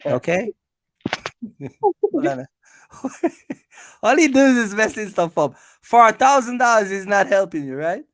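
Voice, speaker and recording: A man's voice: high-pitched, big pitch swings, unhurried, long pauses, dry recording. A man hosting a casual live quiz, teasing the players and repeating himself for emphasis. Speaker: host of a live quiz for his channel. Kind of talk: casual chat, game-show questioning.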